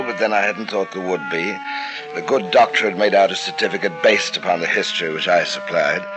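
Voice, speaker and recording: A deep, low-voiced doctor with a killer's calm, as an actor plays him, narrating his own crimes with sly, chilling asides and a suave, falsely sorrowful charm. An old radio drama recording with music running underneath. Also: natural voice, rough recording. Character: smooth murderous doctor narrating coldly. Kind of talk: 1940s radio acting.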